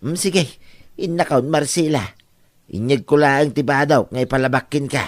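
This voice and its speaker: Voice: medium pitch, normal speed, dry recording, clean studio sound, natural voice. An actor playing a reasoning older parent with an Ilocano accent in a comedy radio drama. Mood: matter-of-fact.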